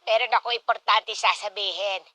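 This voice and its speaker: A woman's voice: mid-range voice, fairly even intonation, normal speed, bright, forceful tone, a little hiss or hum, close to an everyday voice. A woman playing a loving older mother who pleads to remarry, in a Tagalog radio drama. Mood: hesitant, nervous.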